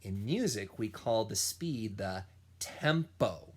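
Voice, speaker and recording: Man, children's music teacher, storybook read-aloud, very slow deliberate delivery, lively varied pitch. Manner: slow, deliberate storytelling with sung and imitated sounds. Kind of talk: animated picture-book read-aloud for children.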